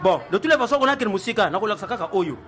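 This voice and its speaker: A man from Congo who plays music, chatting casually in an animated way, repeating himself and laughing often. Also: high-pitched, racing. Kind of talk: casual chat.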